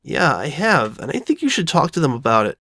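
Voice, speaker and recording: A woman voicing a loyal, reassuring young boy dragon who talks plainly and gently insists, in an audio drama. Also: sits low, big pitch swings, a little fast, no room sound, studio quality, heavily acted. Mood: earnest, insistent. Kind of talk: all-dialogue fan audio drama.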